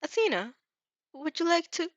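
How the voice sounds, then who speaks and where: A woman's voice: very high voice, sing-song, moderate pace, dry recording, highs cut off, voice pushed up. A woman voicing a shy, self-doubting teenage boy who speaks hesitantly. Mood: insecure. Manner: hesitant, faltering.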